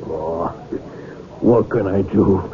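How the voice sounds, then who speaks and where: A man playing a bashful, soft-hearted cop. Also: low voice, slow. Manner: bashful, easily swayed. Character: flirtatious soft-hearted male police officer. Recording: tinny lo-fi radio broadcast.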